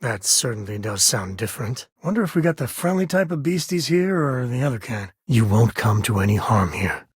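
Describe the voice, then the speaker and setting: An actor playing a rugged, wry space-frontier explorer and caring father in a video game, with a husky, raspy voice and folksy, conversational phrasing. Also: mid-range voice, very animated, normal speed, hardly any pauses, no echo, clean studio sound. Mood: wry, earnest. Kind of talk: video game companion dialogue.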